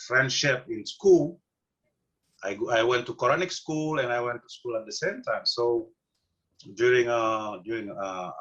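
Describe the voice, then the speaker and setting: A middle-aged man from Senegal with a Senegalese accent, answering interview questions casually with many pauses, fillers and restarts. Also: mid-range voice, fairly bright tone, lo-fi sound. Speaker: interview guest.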